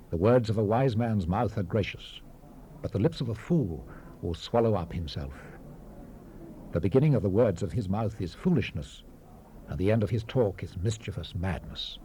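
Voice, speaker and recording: A man playing a grave, world-weary biblical preacher in a dramatized scripture reading, measured and oratorical, with emphatic rises and hushed falls. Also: on the low side, lightly acted. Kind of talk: solemn dramatized Bible reading. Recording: hissy 1970s cassette rip, background ambience.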